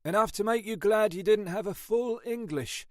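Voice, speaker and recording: A man's voice: high-pitched, moderate pace, no echo, studio quality, pitched above the performer's own voice, lightly acted. A man voicing an overworked police detective in an audiobook, mournful and chatty, grumbling in colloquial speech. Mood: grim, chatty.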